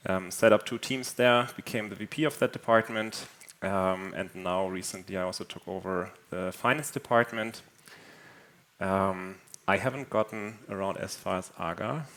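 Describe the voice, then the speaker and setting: A man with a lowish voice talks on a conference panel. He reasons aloud in a thoughtful, measured way, circling back with fillers and restarts before landing his point. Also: fairly bright tone. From northern Germany. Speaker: panel speaker, engineering executive. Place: conference stage.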